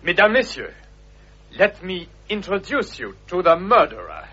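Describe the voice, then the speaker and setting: A man's voice: high voice, huge pitch swings, measured pace, music in the background, heavily acted. An actor playing a theatrical, dapper male detective, speaking in a grand, declamatory way, from a dull old radio drama recording. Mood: triumphant. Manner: grand, declamatory, savoring the reveal. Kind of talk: 1950s radio drama acting.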